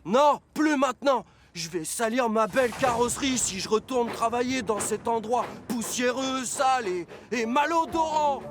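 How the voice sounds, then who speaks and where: A little cartoon locomotive, eager and rather pleased with himself. The voice sits extremely high and sounds childlike and exclamatory. Soft music plays behind it. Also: male voice, normal speed, few pauses. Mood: proud, fussy. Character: eager, vain, good-natured little green male garbage locomotive. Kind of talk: French cartoon dub.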